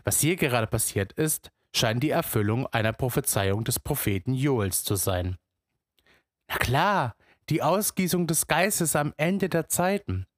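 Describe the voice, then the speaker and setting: Slow, measured male storyteller. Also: medium pitch, expressive, no room sound, clean studio sound. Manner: musing, immersive first-person telling. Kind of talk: first-person dramatic story narration.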